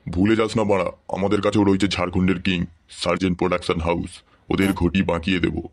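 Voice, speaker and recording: A man's voice: low voice, level tone, quick pace. A man voicing brash, foul-mouthed village men in a comedy cartoon. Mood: boastful. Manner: crude, slangy, combative. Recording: faint music behind the voice.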